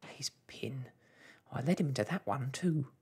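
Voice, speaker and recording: A storyteller voicing a fretful, guilt-ridden mother in a children's storybook, breathy and quavering, with a folksy, lamenting manner. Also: mid-range voice, animated, a little slow, warm tone, pitched above the performer's own voice. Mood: fretting. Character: fretful, guilt-ridden mother of a tiny family, tearful scolder.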